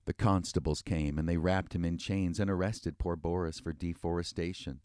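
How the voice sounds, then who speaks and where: A man's voice: sits low, steady tone, moderate pace, a little mellow, clean tone, no echo, studio quality. A man narrating a scripted fairy tale in rhythmic verse, with a wry, tongue-in-cheek delivery. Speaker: storyteller.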